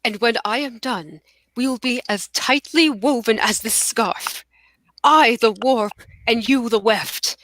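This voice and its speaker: A woman reading the part of a self-absorbed male poet, wooing grandly with dramatic pauses in a play table read. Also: on the high side, a bit bright, low-quality recording. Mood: inspired, grandiose.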